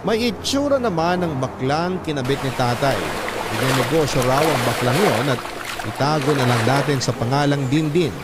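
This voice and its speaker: A man narrates a personal story in the first person for an audio drama. His voice sits at a medium pitch, with music clearly playing behind the scripted telling. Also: lively intonation, normal speed.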